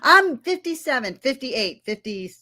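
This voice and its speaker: A woman in her fifties, raised in Florida, works as a legal aid attorney. She answers interview questions in a friendly, measured way and fills her pauses with frequent ums. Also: high-pitched, very animated, sharp tone. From Florida, United States. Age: 50s.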